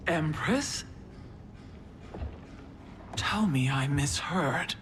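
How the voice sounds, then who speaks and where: A woman's voice: low voice, lively intonation, extremely slow, background noise, full character voice. An actress playing a proud, loyal warrior queen in a video game, grave and blunt in her speech. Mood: dismayed. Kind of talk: dramatic video game acting.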